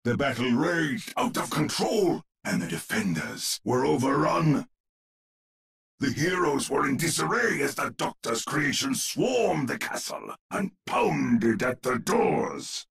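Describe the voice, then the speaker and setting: An actor playing a grave old storyteller, narrating a gothic horror tale for a video game in a husky, gravelly voice with theatrical, ominous phrasing. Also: male voice, mid-range voice, very animated, takes it slowly, a bit bright, full character voice. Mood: urgent, dramatic.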